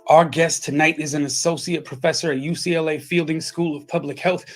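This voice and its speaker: A man's voice: a little high, fairly even intonation, a little fast. Enthusiastic male show host. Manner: enthusiastic, welcoming introductions. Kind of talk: interview show hosting.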